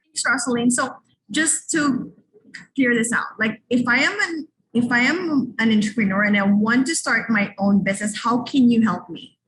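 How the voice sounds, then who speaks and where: A woman's voice: on the high side, moderate pace, a bit bright, distant mic, slight background noise, low-quality recording. A woman hosting an interview, asking questions in a friendly, slightly hesitant way. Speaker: interview host.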